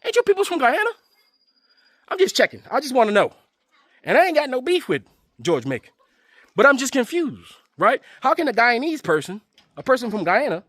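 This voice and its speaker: Male host for a heated commentary rant, high-pitched, dry close sound, profane and confrontational. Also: a bit bright, no room sound. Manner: confrontational, fires rhetorical questions; slangy, profane.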